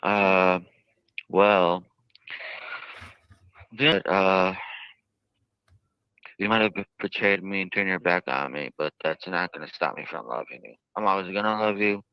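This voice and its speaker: A man giving interview answers in a halting, earnest way with stiffly formal phrasing. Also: low-pitched, smooth, no room sound. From United States. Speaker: jail inmate being interviewed. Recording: dull lo-fi archived interview audio.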